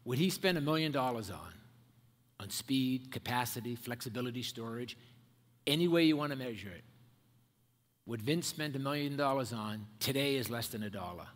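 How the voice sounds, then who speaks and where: An older man giving a measured, emphatic keynote speech from a podium on a large auditorium stage, wearing a headset mic. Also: mid-range voice, lively intonation, fairly bright tone. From Ireland and America. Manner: measured, emphatic, builds points in lists. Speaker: keynote speaker. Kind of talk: prepared conference speech. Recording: long hall reverb.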